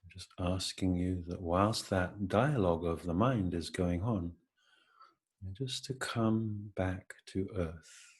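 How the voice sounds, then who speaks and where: A man leading an unscripted, reflective guided meditation, speaking gently in halting phrases with long pauses. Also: deep voice, breathy edge.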